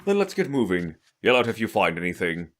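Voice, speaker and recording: Man acting haughty young male student, lowish pitch, lively intonation, condescending, impatient, commanding, anime game fan dub. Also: fairly quick, soft background music.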